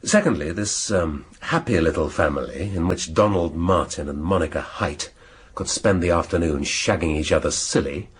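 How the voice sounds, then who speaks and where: An actor playing a brusque, irascible police chief inspector in a radio drama, blunt and probing. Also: male voice, low voice, normal speed, a little hiss or hum, lightly acted. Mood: sardonic.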